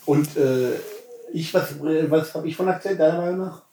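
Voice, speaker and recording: A man's voice: a little high, normal speed, dark tone, reverberant, low-quality recording. A man raised in Hesse, asking questions in a casual conversation, mostly with brief agreeing replies. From Germany, raised in Hesse. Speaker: interviewer.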